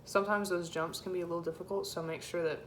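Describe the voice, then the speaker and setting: Woman, low-set voice, choir section leader, informal tutorial, casual encouraging delivery, slightly noisy recording. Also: steady tone, fast. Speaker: choir section leader teaching alto parts. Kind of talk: informal music rehearsal tutorial.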